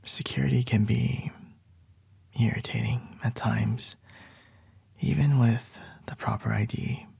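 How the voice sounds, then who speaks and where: A man playing a calm, charming male CEO boss in an ASMR roleplay, soft and reassuring with a light teasing edge. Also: mid-range voice, measured pace, gravelly, long pauses, breathy edge, no echo, exaggerated voice. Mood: soothing.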